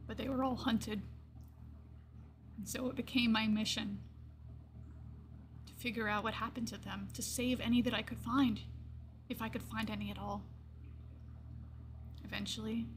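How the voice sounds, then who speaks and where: A woman voicing a gentle old gray-furred lion-folk druid in a fantasy game monologue, wistful and reflective, with pauses and hushed trailing phrases. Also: medium pitch, slight background noise, close to an everyday voice.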